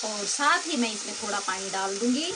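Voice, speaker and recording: A woman's voice: medium pitch, lively intonation, moderate pace. A woman hosting a cooking channel, plainly explaining each step of a recipe as she cooks in her home kitchen. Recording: clear kitchen cooking noise. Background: sizzling and stirring in a pan.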